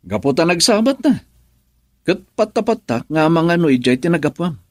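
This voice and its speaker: Troubled husband with wide-swinging pitch. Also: male voice, sing-song, moderate pace, dry recording, studio quality. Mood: defensive, pleading. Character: defensive, troubled husband in a strained marriage. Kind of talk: Ilocano radio drama acting.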